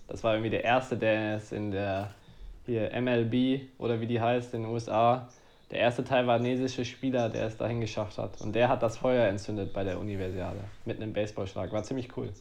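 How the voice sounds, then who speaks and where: A man's voice: mid-range voice, a little mellow. A man chatting casually as co-host of a sports podcast. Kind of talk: casual chat on a badminton podcast.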